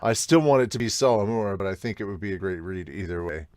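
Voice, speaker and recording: A man with a lowish voice talks as a podcast co-host in a casual, blunt way. He is excitable and freely profane, and the recording is close and dry, with hardly any room sound. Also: fast talker, no room sound. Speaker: podcast co-host reviewing manga. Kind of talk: casual reaction chat with comments read aloud.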